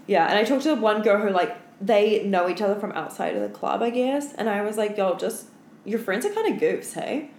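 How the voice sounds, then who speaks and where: Young female podcast co-host, tired, mid-pitched, sweary and slangy storyteller, recorded in a reverberant room. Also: brisk, darkish tone. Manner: slangy, sweary, heavy use of "like". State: tired from being up all night. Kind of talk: casual chat, telling work anecdotes. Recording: echoey room with clear background noise.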